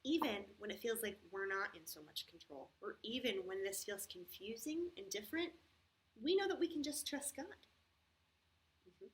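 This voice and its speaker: A woman hosting a story time for young children, talking in simple, patient, expressive phrases with frequent questions. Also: medium pitch, normal speed, low-quality recording. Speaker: children's church story host.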